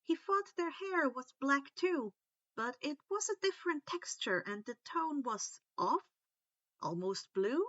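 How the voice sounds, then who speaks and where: A woman narrating a story in an expressive, animated audiobook style, stressing casual asides. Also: sits high, measured pace, no room sound, highs cut off. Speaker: reader of fan fiction for online listeners.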